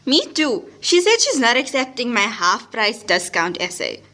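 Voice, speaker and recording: Woman playing a wry, high-voiced schoolgirl. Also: sing-song, moderate pace, sharp tone, full character voice. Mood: wry, chatty. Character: teenage schoolgirl, wry, chatty, earnest friend. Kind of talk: audio drama acting.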